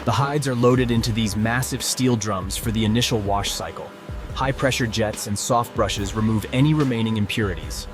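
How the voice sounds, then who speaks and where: A man's voice: fairly low pitch, normal speed, over music. A man reading scripted narration for a factory documentary.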